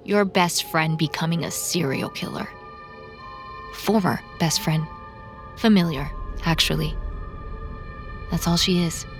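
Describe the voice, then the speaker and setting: Troubled woman, slightly low register, curt and brooding, dramatic podcast fiction delivery. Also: a little slow, long pauses, faint music behind. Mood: brooding, bitter. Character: troubled, bitter woman narrating her unravelling, curt and guarded. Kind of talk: audio drama monologue and dialogue.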